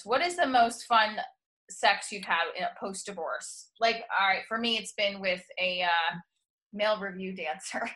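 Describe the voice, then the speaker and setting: A woman's voice: mid-range voice, sharp tone. A woman hosting a casual chat show, talking frankly and rambling with many restarts. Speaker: host and comedian.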